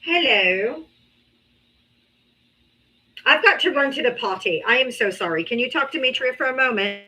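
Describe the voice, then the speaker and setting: Woman hosting a live debate stream, bright voice, pitched a bit high, blunt and swears a lot. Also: animated, normal speed. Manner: blunt, profane, scolding.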